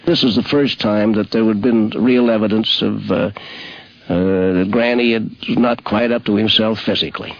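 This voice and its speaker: A man's voice: fairly low pitch, steady tone, moderate pace, no room sound. A man recalling a colleague in a plain spoken anecdote on a 1950s radio program. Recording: dry, dull 1950s radio recording.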